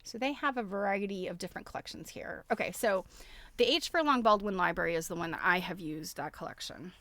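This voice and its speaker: A woman's voice: mid-range voice, brisk, fairly bright tone, no room sound. A woman hosting a casual livestream, chatting enthusiastically and thinking aloud as she walks through websites. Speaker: host of a craft livestream.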